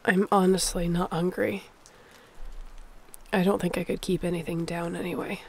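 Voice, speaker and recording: A woman's voice: fairly low pitch, level tone, normal speed, darkish tone, slightly rough. A woman playing a sick, stubborn survivor of a zombie apocalypse in an audio roleplay, speaking in a weary, conversational way. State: feverish, under the weather.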